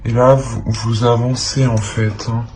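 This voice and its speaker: A man's voice: medium pitch, level tone, a little slow, a little mellow, a little hiss or hum, poor audio. A man giving an improvised tarot reading to the viewer, speaking hesitantly and often repeating his phrases. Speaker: tarot reader.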